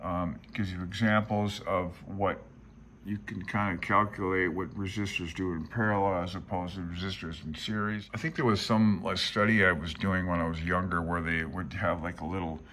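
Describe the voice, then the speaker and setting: Man in his sixties, low voice, laid-back, thinking aloud, informal hobbyist walkthrough, reminiscing. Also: steady tone, moderate pace, a bit bright. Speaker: amateur radio hobbyist presenting a handbook. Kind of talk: casual rambling commentary while paging through a book.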